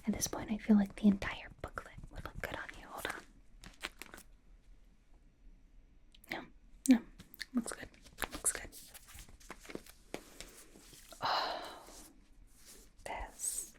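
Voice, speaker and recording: A soft whisper with a mellow, breathy tone carries this bubbly young female pop idol , acted out by a woman. She plays personal stylist in an ASMR roleplay, gushing and rambling with playful, devoted reassurance. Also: mid-range voice, close to an everyday voice. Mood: dreamy, chatty.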